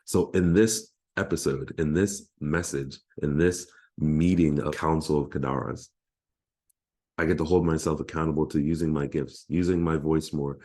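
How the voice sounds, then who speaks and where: A man's voice: very deep voice, steady tone, normal speed, a little mellow. A man in his thirties, a life coach giving an earnest, heartfelt motivational talk.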